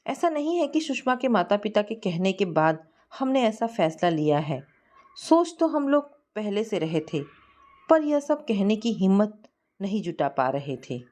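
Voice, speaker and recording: A persuasive older mother from a storybook family drama , read by a woman narrator. She coaxes and reasons with her son in a mid-pitched, lively voice. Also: measured pace. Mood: coaxing. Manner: coaxing, reasoning.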